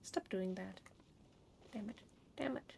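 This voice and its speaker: A woman giving casual gameplay commentary as a streamer, chatty and thinking aloud in halting bursts. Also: mid-range voice, creaky, crowd noise. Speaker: game streamer.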